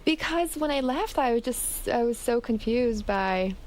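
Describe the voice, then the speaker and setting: A woman's voice: a little high, expressive, unhurried, rough recording. A woman from Canada speaking conversationally as the guest in a radio interview. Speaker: interview guest, memoir author.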